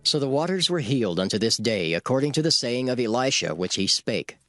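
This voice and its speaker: A man narrates dramatized scripture in a formal storyteller's manner. His mid-pitched voice moves widely and expressively through the archaic text, over clearly audible music. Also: very animated, a little fast, a bit bright, dry recording, studio quality.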